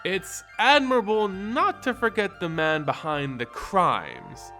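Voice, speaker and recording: A man voicing a solemn, frank female spirit adviser in an audio story, measured and admonishing. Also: high-pitched, big pitch swings, pushed and bright, full character voice. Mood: grave, admonishing. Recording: music behind the voice.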